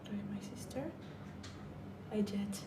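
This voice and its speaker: A woman's voice: medium pitch, fairly even intonation, normal speed, roomy sound, heavy background noise. A woman with an Algerian accent chatting casually on a live stream, jumping between topics and mixing in French and English words.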